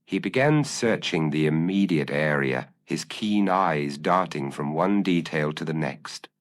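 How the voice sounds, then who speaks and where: A man with a very deep voice reads a gothic mystery as a storyteller. His delivery is formal and theatrical, with a measured feel and lively swings in pitch. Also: no room sound, studio quality. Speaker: audiobook narrator. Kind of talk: scripted dramatic gothic mystery narration.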